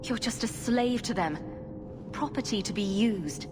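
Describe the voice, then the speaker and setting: A woman's voice: medium pitch, measured pace, lightly acted. An actress playing a female human hero, an apostate mage refugee, speaking in an earnest, direct way. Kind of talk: video game voice acting. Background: game music score.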